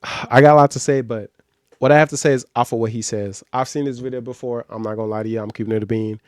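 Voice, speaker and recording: Male streamer, American accent, medium pitch, dry sound, casual reaction commentary, slangy and repetitive for emphasis. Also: steady tone, fast, no echo. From born in Nigeria, grew up in the United States. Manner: slangy, repeats phrases for emphasis.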